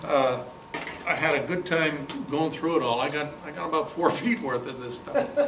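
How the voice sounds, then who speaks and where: A man giving an informal, halting, jokey show-and-tell talk to a club meeting, in a folksy way. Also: on the high side. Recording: echoey room, distant mic, clear background noise.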